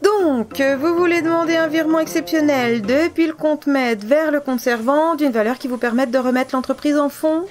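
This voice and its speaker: A high-pitched female bank adviser, played by an actress, polite but officious and wedded to procedure. She speaks as in an amateur audio comedy, hesitating slightly, over faint background music. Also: moderate pace, hardly any pauses, close to an everyday voice. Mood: puzzled, procedural. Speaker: voice actor.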